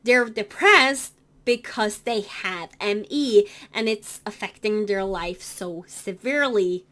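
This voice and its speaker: Slow-talking Norwegian woman vlogger. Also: mid-range voice, bright, forceful tone. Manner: halting, many fillers and self-corrections. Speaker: cross-stitch vlogger. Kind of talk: casual explanatory talk to camera.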